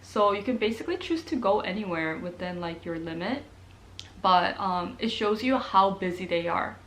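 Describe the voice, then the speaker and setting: A woman's voice: medium pitch, animated, moderate pace, fairly bright tone. A young woman from Korea, chatting casually to camera as a nursing student vlogger, with plenty of ums and likes. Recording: faint background noise.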